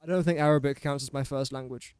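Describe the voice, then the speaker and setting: A man's voice: mid-range voice, steady tone, quick pace, darkish tone, clean tone, dry recording, clean studio sound. A young man from England with an English accent, chatting casually while streaming a building game and talking to the pieces he places in a self-deprecating way. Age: young adult. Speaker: gaming streamer. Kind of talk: casual live gameplay commentary.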